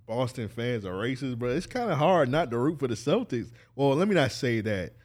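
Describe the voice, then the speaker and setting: A man's voice: mid-range voice, big pitch swings, moderate pace, darkish tone. A man co-hosting a podcast in blunt, dismissive, profane casual banter. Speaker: podcast co-host.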